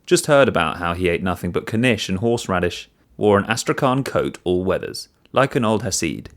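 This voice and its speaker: A man reading a first-person short story as narrator, in an expressive storytelling manner. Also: deep voice, normal speed.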